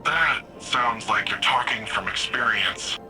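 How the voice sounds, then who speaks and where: An actor playing an earnest, uneasy young hero in a video game, speaking in a casual, plain way. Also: male voice, lowish voice, lively intonation, measured pace, music in the background, poor audio. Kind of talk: video game dialogue acting.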